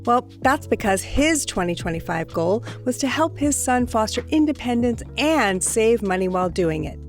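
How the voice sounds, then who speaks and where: A woman's voice: on the high side, lively intonation, normal speed, soft background music. A woman hosting a show delivers a scripted sponsor message, addressing the listener directly with questions. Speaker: podcast host reading sponsor ad. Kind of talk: scripted host-read advertisement.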